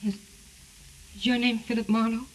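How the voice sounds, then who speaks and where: A woman's voice: a little high, little pitch movement, normal speed, very noisy, natural voice. A woman playing a frightened, exhausted amnesiac in 1940s radio drama style, hesitant, anxious and pleading. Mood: timid, uneasy. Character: frightened, exhausted woman who has lost her memory. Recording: vintage 1940s radio recording.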